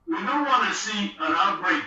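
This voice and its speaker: A man's voice: high voice, expressive, moderate pace, bright, forceful tone, faint music behind. A man giving impassioned political commentary as a talk show guest, speaking emphatically and repeating words for effect. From the Bahamas. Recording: distant, dull, very echoey sound.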